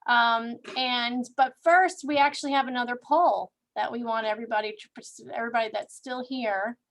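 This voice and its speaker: High-voiced, tired woman co-host. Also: fairly even intonation, fairly quick, fairly bright tone, slight background noise. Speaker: event organizer, co-host. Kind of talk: informal announcements at an online event. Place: online video call.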